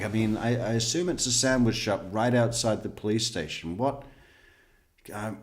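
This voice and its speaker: A man with a lowish voice gives slow, unhurried commentary. He jokes and mocks with a dry, sardonic edge and swears now and then. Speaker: true crime commentator. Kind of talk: casual commentary over an interrogation video.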